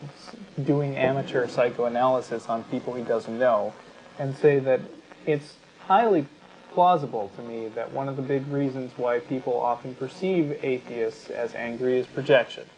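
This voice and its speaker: A man co-hosting a talk show, explaining his points in a measured, analytical, conversational way. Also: mid-range voice, darkish tone. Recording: slightly dull audio with faint noise.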